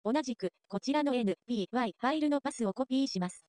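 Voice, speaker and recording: A woman reading scripted narration for a software tutorial. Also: a little high, animated, fast talker, no echo.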